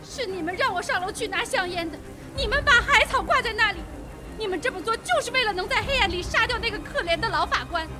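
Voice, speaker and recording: A woman's voice: extremely high pitch, animated, fast, over music. An actress playing a frightened young woman secretary in a radio drama. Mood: accusing, distraught.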